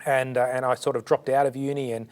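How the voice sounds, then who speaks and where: A man's voice: mid-range voice, flat delivery, fast talker. A middle-aged man with an Australian accent, born in India and raised in Sydney, giving earnest, fluent interview answers with a few restarts. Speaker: charity director, guest.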